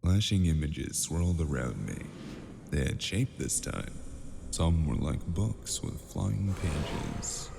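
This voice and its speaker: A man narrating and playing the lead in a noir audio drama, in a slow, brooding, dry manner. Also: very deep voice, creaky, long reverb tail, noisy background.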